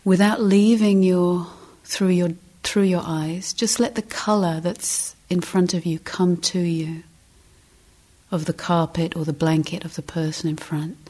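A woman meditation teacher giving guided instruction, speaking gently and deliberately in short phrases with long pauses. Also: lowish voice, fairly even intonation.

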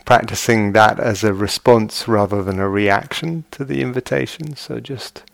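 A man guiding a meditation as a teacher, speaking gently and in measured short phrases with long pauses. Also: fairly low pitch, gravelly, no room sound. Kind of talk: guided meditation. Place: meditation retreat hall.

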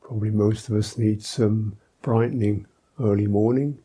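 A man teaching guided meditation as a monk, speaking in a gentle, thoughtful way with long pauses between phrases. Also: on the low side, steady tone, husky.

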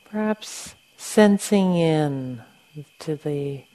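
A woman leads a guided meditation in a low, mellow voice. She speaks very slowly and gently, leaving long pauses between short phrases as she instructs. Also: expressive. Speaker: meditation teacher.

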